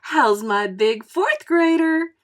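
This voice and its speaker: Fond female teacher, played by a woman narrator, high cooing voice, slow and drawn-out, affectionate, storybook character. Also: lively intonation. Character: affectionate, gushing woman schoolteacher doting on former pupil.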